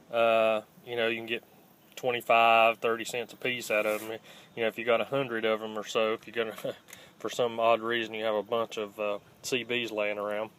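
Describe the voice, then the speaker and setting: A man giving a casual, unscripted hands-on how-to in a plainspoken, halting way, recorded on a handheld phone. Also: lowish voice, a bit bright. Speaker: host of a scrapping how-to channel. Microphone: handheld phone, filming one-handed. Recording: home phone video with faint background noise.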